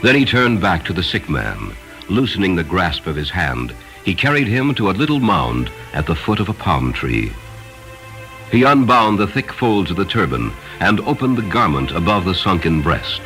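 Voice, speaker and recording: Very deep-voiced man narrating a story. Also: moderate pace, faint music behind, poor audio. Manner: solemn, expressive storytelling. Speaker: audiobook narrator. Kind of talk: dramatic scripted story narration.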